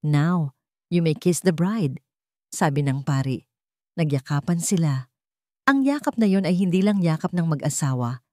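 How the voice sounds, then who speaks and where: Woman narrating a romance story, dramatic and very lively, dry voice. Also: deep voice, no echo. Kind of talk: scripted narration.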